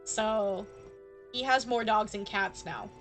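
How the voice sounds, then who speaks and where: A woman's voice: medium pitch, slow, a bit bright. A woman live-streaming a game, chatting casually and playfully and speaking straight to her viewers. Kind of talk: casual chat to stream viewers during gameplay. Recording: background music behind voice. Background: music.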